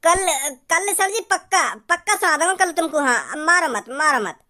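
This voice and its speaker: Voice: very high voice, lively intonation, normal speed, noisy background. A performer voicing a dim schoolboy in a comic cartoon, pleading and repeating himself as he stalls with excuses. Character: dim, excuse-making cartoon schoolboy who fumbles his recitation.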